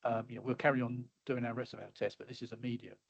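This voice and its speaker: A middle-aged man giving a webinar talk over slides, halting and full of fillers and restarts, his voice a little hoarse as he keeps clearing his throat. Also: medium pitch, fairly even intonation, no room sound. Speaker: webinar presenter. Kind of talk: casual presentation with slides. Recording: slightly dull lo-fi audio.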